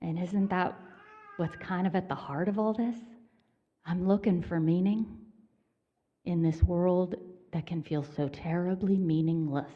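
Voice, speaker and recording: Slow, low-voiced woman minister preaching. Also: steady tone, dull audio. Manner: conversational, self-deprecating humor. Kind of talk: sermon. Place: church sanctuary.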